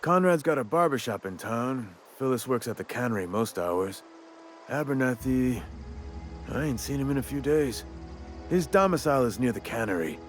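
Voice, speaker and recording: A man's voice: medium pitch, lively intonation, moderate pace, soft background music, close to an everyday voice. Folksy, wry gravedigger Silas, played by a man. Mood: sly, businesslike. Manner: colloquial drawl, wry and talkative. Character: chatty, folksy male cemetery worker, shrewd and morbidly cheerful. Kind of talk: video game dialogue acting.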